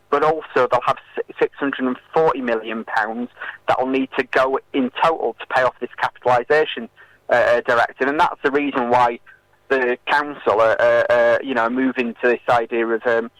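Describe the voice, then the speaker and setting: A British man speaking as a campaigner in a radio interview, explaining and arguing in a halting way with many filled pauses. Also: mid-range voice, fairly bright tone, no room sound, poor audio. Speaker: political campaigner and interview guest.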